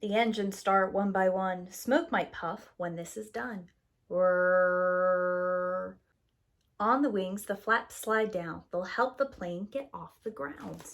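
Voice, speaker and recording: Slow-paced woman reading a children's story. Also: medium pitch, gravelly. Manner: acts out sound words, rhythmic rhyming delivery. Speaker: preschool teacher reading a picture book aloud. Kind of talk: expressive children's story read-aloud.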